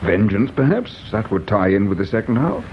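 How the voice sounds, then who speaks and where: A shrewd middle-aged English inspector, low-pitched with widely swinging intonation. He is dry, patient and probing, musing his way through a radio play. The sound is slightly muffled and noisy. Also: big pitch swings. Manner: measured, probing, dry, thoughtful. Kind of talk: radio drama acting.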